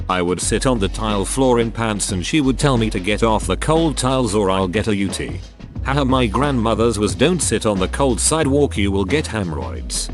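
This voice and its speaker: A man narrating a string of read-out online posts, reading symbols and abbreviations out literally. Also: fairly low pitch. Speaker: narrator of online comment threads. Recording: voice over clear background music. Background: background music.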